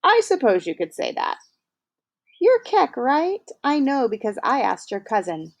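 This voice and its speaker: A woman's voice: wildly swooping pitch, unhurried, heavily acted. A woman voicing a frank, friendly schoolgirl with a wry, knowing manner. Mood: teasing. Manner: wry, knowing, raised pitch.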